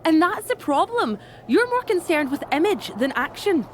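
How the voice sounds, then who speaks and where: A woman's voice: very high voice, sing-song, moderate pace, slight background noise. A woman voicing a scornful, exasperated coven leader in a wrestling video game, with a sardonic, scolding manner. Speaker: voice actor in a video game cutscene.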